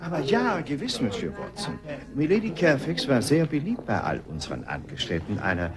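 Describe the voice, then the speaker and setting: An actor playing an effusive, obsequious hotel manager who drops in French courtesies, in a German radio drama. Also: male voice, mid-range voice, huge pitch swings, moderate pace. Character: effusive, obliging Swiss hotel manager, male. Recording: busy crowd sound behind.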